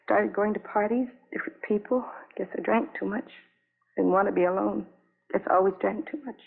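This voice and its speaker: An actress playing an anguished, lonely young wife in a 1950s radio drama, speaking in halting, repetitive fragments on a vintage broadcast recording. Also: female voice, medium pitch, gravelly, narrow, tinny audio. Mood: weary, confessing.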